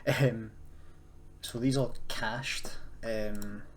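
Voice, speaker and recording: A man's voice: on the low side, fairly even intonation, very slow. A man presenting a casual screen-recorded tutorial, explaining in an unscripted, hesitant way. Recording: noisy home recording, keyboard typing.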